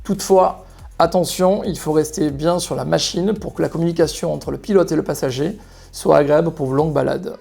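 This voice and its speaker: Male product presenter, mellow and creaky delivery, explanatory, addressing viewers over background music. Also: on the high side, brisk. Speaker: motorcycle shop product presenter. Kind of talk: product presentation, semi-scripted.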